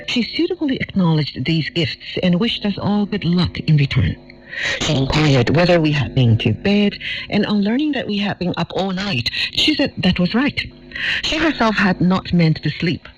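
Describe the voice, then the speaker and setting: A man reading a memoir aloud as scripted audiobook-style narration, heard over lo-fi shortwave radio. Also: on the high side. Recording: dull lo-fi shortwave radio, music underneath.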